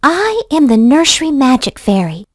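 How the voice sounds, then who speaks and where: A woman's voice: high-pitched, sing-song, moderate pace, dry recording, clean studio sound, pitched above the performer's own voice. A woman voicing a kindly, magical nursery fairy in a children's story, reassuring and a little grand.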